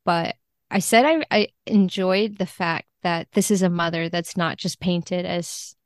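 A woman's voice: fairly low pitch, measured pace, no echo, studio quality. A woman speaking as a podcast guest in a casual interview, enthusiastic and informal about her work as a literary translator.

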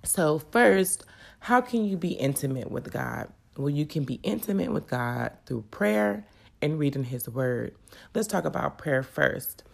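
Very low, gravelly woman podcaster. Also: expressive, measured pace. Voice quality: crackly. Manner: earnest, personal, encouraging. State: congested with a cold. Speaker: podcast host. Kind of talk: faith podcast talk.

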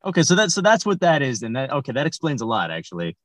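A man's voice: medium pitch, big pitch swings, quick pace, a bit bright. A man hosting a casual comedy podcast as the hesitant, dryly bemused straight man. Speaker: podcast host. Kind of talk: casual comic interview.

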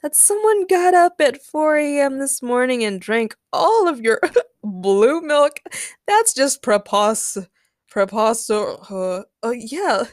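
A woman's voice: high-pitched, animated, slow, no echo, studio quality, voice pushed up. A woman voicing a tipsy man who sputters, hiccups and stumbles over words. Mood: drunken bluster.

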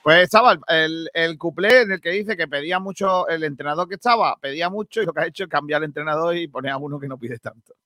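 Bright-voiced man hosting radio. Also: a little high, fast talker, dry recording, clean studio sound. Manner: chatty, relaxed, trails off and restarts often. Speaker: radio host. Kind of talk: live radio chat presenting carnival songs.

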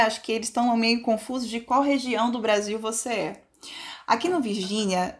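A woman hosts a science podcast and asks her guest questions in a fairly high voice. She sounds enthusiastic and openly admiring. Her questions are long and carefully framed. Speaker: podcast host.

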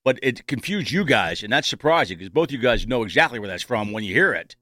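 A man with a medium-pitched voice hosts a radio show in casual banter. The sound is dry and close, with no room to it. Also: very animated, brisk, bright, forceful tone, no echo.

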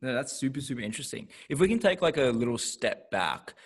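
A man in his twenties co-hosts a podcast in a casual interview. His voice sits in a middle pitch, and his questions are relaxed and thoughtful. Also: quick pace, slightly rough. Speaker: podcast co-host.

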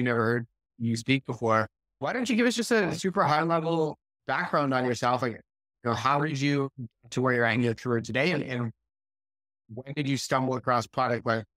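An upbeat, enthusiastic man hosts a podcast interview. His voice sits at a middle pitch. The recording is close and dry, with no room sound. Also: a bit bright, no room sound. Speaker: podcast host.

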